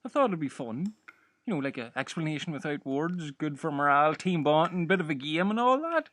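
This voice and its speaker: A man playing an excitable, naive, simple-minded castaway in a scripted audio comedy. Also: fairly high pitch, fairly quick. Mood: eager. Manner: naive, eager.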